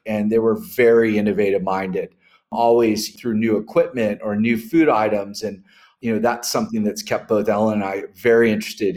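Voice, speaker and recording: A man's voice: on the low side, darkish tone. A middle-aged man with an American accent, explaining reflectively and telling stories in a conversational interview, with wry asides. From Northern California, United States. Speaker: interview guest, restaurant founder. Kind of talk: conversational interview answers, storytelling.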